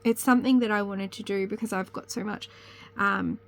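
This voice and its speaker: A woman's voice: mid-range voice, steady tone, fairly quick, vocal fry, a little hiss or hum. Chatty, slightly sniffly woman. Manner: rambling, chatty, self-correcting. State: sniffly with a cold. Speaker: crafting channel host. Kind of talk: casual chat to camera.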